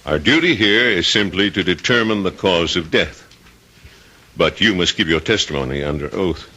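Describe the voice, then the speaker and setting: An actor playing a formal, authoritative coroner in a radio drama, official and deliberate. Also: male voice, low voice, expressive, sharp tone, full character voice. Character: formal, authoritative coroner presiding over an inquest. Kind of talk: old-time radio drama acting.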